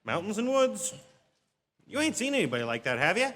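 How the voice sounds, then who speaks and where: An easygoing, folksy gas station attendant acted by a man chats in a high, bright voice. It is radio drama dialogue in a reverberant theatre, with faint noise underneath. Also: sing-song, a little fast. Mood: amused, folksy. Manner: chatty, folksy, colloquial. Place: stage of a theatre.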